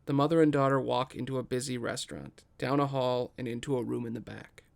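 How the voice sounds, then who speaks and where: A man's voice: mid-range voice, fairly even intonation, brisk, creaky. A man reading scripted stage directions as the narrator of a table read.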